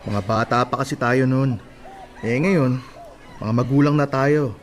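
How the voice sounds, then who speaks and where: An actor playing a pushy, selfish, irritable young husband, curt and insistent, in Filipino radio drama style. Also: male voice, medium pitch, measured pace, lightly acted. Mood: offhand.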